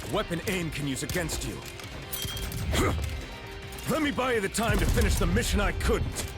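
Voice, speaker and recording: A man's voice: sits high, big pitch swings, normal speed. A man playing a brave, earnest soldier hero in a video game, speaking with urgent emphasis. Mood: urgent resolve. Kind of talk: video game cutscene acting. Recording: loud game music, gunfire behind.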